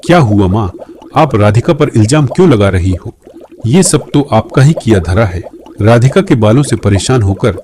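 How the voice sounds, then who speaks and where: A man playing a devoted young husband in a cartoon, gentle but firm and earnest. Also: lowish voice, expressive, normal speed, background noise, lightly acted. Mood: reproachful. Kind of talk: Hindi moral-story cartoon acting.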